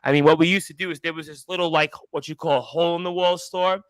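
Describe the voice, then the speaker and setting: A man's voice: a little high, steady tone, quick pace, dry recording. Chatty New man hosting, dry sound. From Brooklyn, New York. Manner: chatty, rambling, repeats himself. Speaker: radio show host. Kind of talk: casual interview chat.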